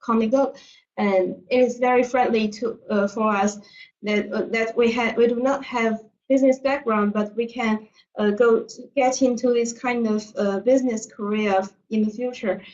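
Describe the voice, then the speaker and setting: Student from China, female, halting delivery, recorded from a distance, lo-fi. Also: fairly high pitch, steady tone, a little mellow. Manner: hesitant, many fillers, laughs easily. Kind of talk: webinar testimony. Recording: distant mic, dull lo-fi sound.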